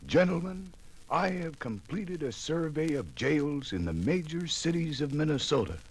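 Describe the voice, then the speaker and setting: An actor playing an earnest reforming minister in a 1950s radio drama, speaking in formal, emphatic oratory. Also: male voice, a little high, very animated, normal speed, husky, heavily acted. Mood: indignant. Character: earnest reforming minister delivering a grave report.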